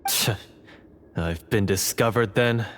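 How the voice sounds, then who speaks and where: A man's voice: on the low side, takes it slowly, heavy background noise. A male actor voicing a bitter, proud renegade hunter in an anime game, scornful and dramatically resentful.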